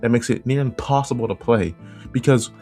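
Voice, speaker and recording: A man's voice: medium pitch, a little fast, warm tone. A man giving informal, opinionated video commentary in a conversational way, repeating phrases for emphasis. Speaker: video essay host and analog horror creator. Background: background music.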